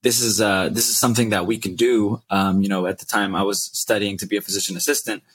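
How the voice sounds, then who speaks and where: Male, early twenties, husky and slightly low, relaxed conversational podcast guest telling stories. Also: quick pace, low-quality recording. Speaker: podcast guest, real estate investor. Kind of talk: casual conversational storytelling.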